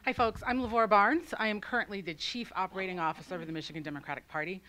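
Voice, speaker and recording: A woman's voice: low-pitched, a bit bright. A woman in her forties from Virginia, introducing herself as a candidate in a businesslike way with frequent "um"s. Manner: businesslike, many "um" fillers. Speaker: party chair candidate.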